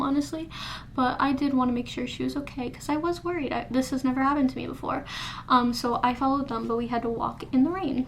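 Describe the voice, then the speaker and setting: A woman's voice: sits high, a little hiss or hum. A woman in her early twenties talking candidly to the camera in her bedroom, rambling with restarts in a casual diary vlog. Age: early 20s. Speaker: vlogger. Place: bedroom indoors. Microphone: camera in front of her face.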